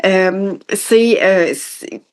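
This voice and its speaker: A woman in her late forties with a Quebec French accent, hosting a reflective personal development podcast in an introspective, halting way, often restarting her phrases. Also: medium pitch. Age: late 40s.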